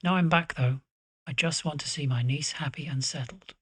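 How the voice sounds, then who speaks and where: A man playing a sour, curt older uncle with a husky voice in a period audio drama. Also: a little high, moderate pace, a bit bright, no room sound, studio quality.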